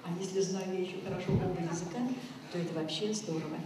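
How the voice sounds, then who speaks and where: A woman's voice: on the low side, flat delivery, fast. A woman from Chuvashia giving an informal, heartfelt commemorative speech to an audience, wandering between thoughts and addressing her listeners directly. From Chuvashia, Russia. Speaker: guest speaker at a school commemoration. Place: school hall stage, indoors. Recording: very echoey hall, distant mic, faint noise.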